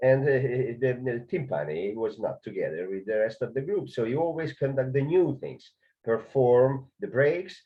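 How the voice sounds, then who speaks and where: A man with a Hungarian accent explaining conducting in a video lesson, hesitant, with many filler sounds and restarts. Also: on the low side. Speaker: conducting teacher. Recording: dull lo-fi sound.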